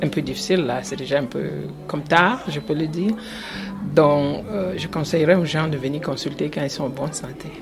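A woman's voice: low-pitched, fairly quick. Nurse explaining, music under her. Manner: explanatory, hesitant with fillers, laughs easily. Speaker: nurse at a community health centre. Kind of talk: street interview answers. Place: indoor open-house event.

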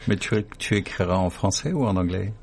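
A man's voice: low-pitched, brisk, creaky, breathy edge. A man hosting a radio interview, friendly and joking, with short encouraging replies. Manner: friendly, jokey, short back-channel replies. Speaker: radio host.

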